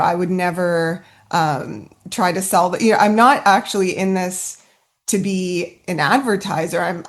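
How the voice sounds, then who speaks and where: A woman musician answering a panel question in an earnest, casual way, often saying "you know" and "like". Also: lowish voice.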